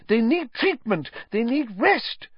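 A man's voice: very animated, slow pace, slightly rough, no room sound, lo-fi sound, full character voice. A man voicing an indignant, hysterical school nurse, an insistent older matron in an audiobook story. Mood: spluttering protest. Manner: shrill, spluttering, insistent.

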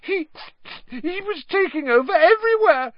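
A cringing coward of a man, pitched far up into a shrill whine. He grovels and repeats himself in tearful, gasping pleas, swooping wildly like a cornered storybook villain. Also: animated, slow, dry recording, lo-fi sound. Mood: terrified whining. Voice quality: high, whining, tearful, gasping. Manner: grovelling, repetitive, desperate pleading. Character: cringing, pleading, cowardly man, rat-like traitor.

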